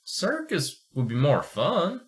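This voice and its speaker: A man's voice: on the high side, wildly swooping pitch, slow pace, heavily acted. A man voicing a naive, agreeable young boy who talks in a hesitant, folksy way, read as amateur radio play. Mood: earnest.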